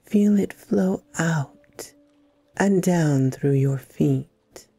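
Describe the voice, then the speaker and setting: Very slow, dark, breathy low-voiced woman. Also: no echo. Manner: breathy, soothing, deliberate phrases with long pauses. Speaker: guided meditation host. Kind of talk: slow guided meditation narration.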